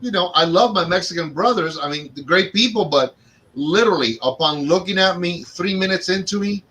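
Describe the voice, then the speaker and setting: A man's voice: sits high, a little fast. A man from Cuba with a Cuban accent, co-hosting a casual chat and acting out the voices in his stories. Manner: animated storyteller acting out dialogue.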